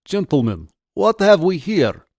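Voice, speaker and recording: A man's voice: fairly high pitch, sing-song, a little slow, a little mellow, dry recording, studio quality, pitched above the performer's own voice, exaggerated voice. A man voicing a menacing Russian party officer with a heavy accent, condescending and mockingly formal.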